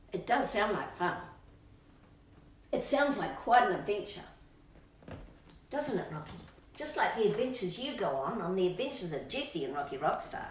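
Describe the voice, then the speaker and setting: A woman reading aloud to children in a playful, sing-song storybook style, drawing out words for emphasis and chatting to her pet. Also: medium pitch. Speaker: children's storytime reader and channel host. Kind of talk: expressive storybook read-aloud with asides to a pet dog. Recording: roomy echo, distant microphone.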